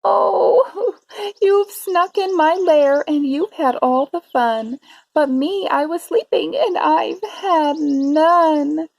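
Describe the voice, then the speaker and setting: A woman's voice: very high voice, slow pace, gravelly, a little mellow, no room sound, voice pushed up, full character voice, rough recording. A woman voicing a big storybook bear who is plaintive, whimpering and sorry for himself. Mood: hurt, whiny. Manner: wailing, blubbering, plaintive.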